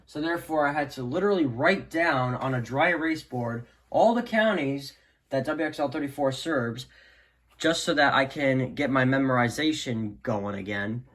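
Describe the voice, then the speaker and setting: A man's voice: medium pitch, normal speed, a bit bright. A man giving a casual, unscripted product demo in a rambling, hesitant way, often trailing off into "so yeah". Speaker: hobbyist video maker. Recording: clearly noisy amateur home recording.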